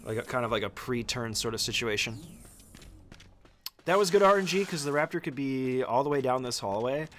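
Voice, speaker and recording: Male gaming commentator, average pitch, informal and explanatory, talking viewers through a speedrun. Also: expressive, brisk, a bit bright. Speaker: speedrunner giving a tutorial. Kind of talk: casual video game tutorial commentary.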